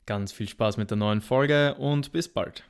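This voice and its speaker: A man's voice: lowish voice, measured pace, creaky, over loud music. A man hosting a podcast, talking conversationally as he introduces and interviews a guest.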